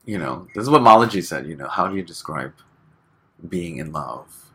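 A man from Hong Kong, a guest chatting casually in an interview, relaxed and full of fillers, laughing often. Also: low voice, normal speed, a little hiss or hum.

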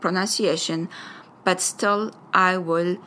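A woman's voice: lowish voice, steady tone, sharp tone, slight background noise, rough recording. A woman from Iraq, a native Arabic speaker with an Arabic accent, sharing her background with a school audience in careful, hesitant English. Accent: Iraqi Arabic. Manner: careful, deliberate phrasing with frequent hesitations. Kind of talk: personal testimony to a school audience.

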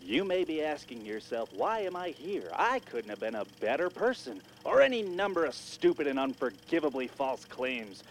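A man's voice: fairly high pitch, big pitch swings, normal speed, few pauses, slight background noise. A man as a corny filmstrip narrator. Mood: mock-earnest. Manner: announcer-style, mock-earnest narration. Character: old-fashioned male educational filmstrip narrator.

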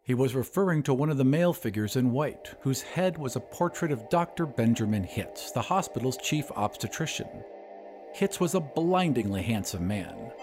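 A man narrates as a podcast host with a lively, widely varying delivery at a medium pitch. His scripted, audiobook-like reading sits over faint background music. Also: normal speed.

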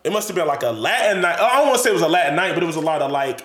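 A man's voice: on the high side, animated, very fast, a bit bright, roomy sound. A man from Columbus, Ohio, co-hosting a casual chat, slangy and profane, full of "like" and "you know".

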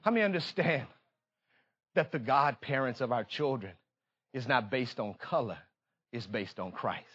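An American man preaching a fervent sermon in an emphatic, repetitive call-and-response manner, moving between confiding talk and impassioned shouting. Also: mid-range voice, animated, normal speed, long pauses, breathy edge, muffled recording.

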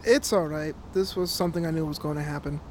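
Radio-play lead, male actor: twenties-type young guy, high-pitched for a man, apologetic, tired of it all. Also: steady tone, quick pace, slight background noise, natural voice. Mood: subdued, weary. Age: young adult. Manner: hesitant, apologetic. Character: weary, apologetic young man fed up with his life. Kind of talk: audio drama acting.

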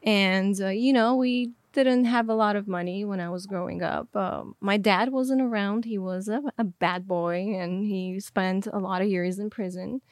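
A woman's voice: medium pitch, fairly even intonation, a little slow, few pauses, no room sound, clean studio sound. A woman from Armenia, a guest in a casual interview, telling her life story openly and reflectively. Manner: open, reflective storytelling with fillers. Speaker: guest, video director.

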